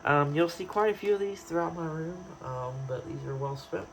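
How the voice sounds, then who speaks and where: Guy just rambling thru his hobby stuff, thinking out loud, pretty noisy home vid. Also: on the high side, moderate pace. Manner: rambling, hesitant, thinking aloud. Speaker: vlogger, radio hobbyist. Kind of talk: casual unscripted show-and-tell. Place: indoors in his own room. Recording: clearly noisy home recording.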